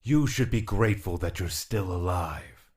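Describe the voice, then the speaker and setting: A man voicing a deep, aloof spirit. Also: expressive, normal speed, a little mellow. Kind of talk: audio drama acting.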